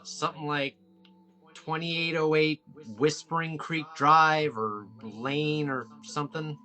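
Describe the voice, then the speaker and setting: An actor reading a laid-back, guarded small-town policeman in a film script, casual, halting and folksy. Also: fairly high pitch, fairly even intonation, pushed and bright. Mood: wry, casual. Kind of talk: table read of a film script.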